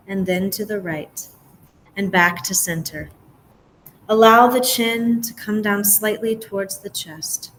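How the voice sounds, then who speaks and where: A woman guiding a relaxation meditation as a yoga nidra teacher, giving gentle, measured instructions with pauses. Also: mid-range voice, slight background noise, low-quality recording.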